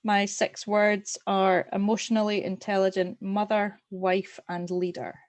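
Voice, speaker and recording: A woman's voice: medium pitch, flat delivery, a little slow, no room sound. A woman giving a composed introduction on a video call. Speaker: participant.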